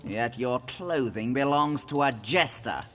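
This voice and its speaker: An actor playing a haughty, suspicious medieval lord in an audio drama, formal and commanding. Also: male voice, mid-range voice, expressive, unhurried, fairly bright tone, soft background music. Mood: cool, appraising.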